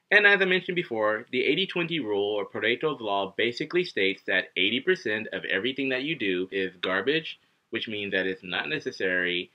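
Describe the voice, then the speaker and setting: Lively lo-fi male video teacher. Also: fairly low pitch. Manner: animated, acts out students and himself, gestures a lot. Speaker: English teacher. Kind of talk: casual video lesson to camera. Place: living room at home, indoors. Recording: dull lo-fi webcam audio.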